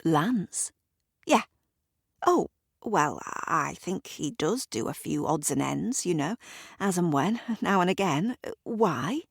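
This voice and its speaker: A woman's voice: lowish voice, expressive, slow pace, creaky, husky, no echo, clean studio sound, full character voice. A woman voicing a chatty, nosy village woman who is eager to pass on gossip.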